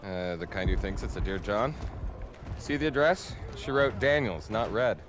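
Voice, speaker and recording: A man's voice: on the low side, animated, normal speed, noisy background. A man playing a wry soldier teasing a comrade. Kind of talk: game cutscene banter.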